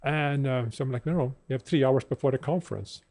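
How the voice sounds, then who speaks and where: A man with a Norwegian accent talks through engineering stories in a plain, matter-of-fact way. His voice sits at an ordinary middle pitch, recorded on a podcast mic. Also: fast talker, darkish tone. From Norway. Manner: plainspoken, technical storytelling. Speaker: guest engineer. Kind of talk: casual chat.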